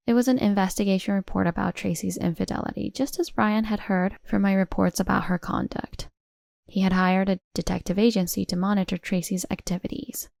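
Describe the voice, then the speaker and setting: A woman narrates a scripted first-person story. Her mid-pitched voice has a creaky edge. The recording is very dry, with no audible room. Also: a little mellow, no room sound.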